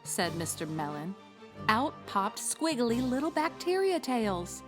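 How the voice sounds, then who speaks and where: A woman teacher reading a children's storybook aloud in an expressive narrating style. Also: fairly high pitch, measured pace, faint music behind.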